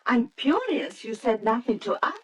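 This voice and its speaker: An actress in an old-time radio drama playing a bossy, chattering professor's wife, imperious and theatrically emphatic. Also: female voice, fairly high pitch, very animated, normal speed. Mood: sociable, domineering.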